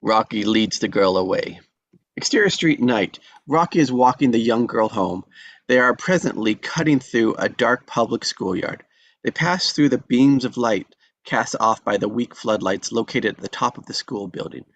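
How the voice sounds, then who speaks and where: A man's voice: mid-range voice, expressive, moderate pace, fairly bright tone, no echo. A man reading stage directions as scripted narration at a table read.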